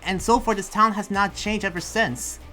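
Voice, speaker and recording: Someone acting as a defensive town protector, insistent, anime roleplay, middle pitch, soft music behind. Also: fairly even intonation, moderate pace, lightly acted. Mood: exasperated, pleading. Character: defensive town protector who trusts friendly demons. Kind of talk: improvised anime roleplay argument in a game.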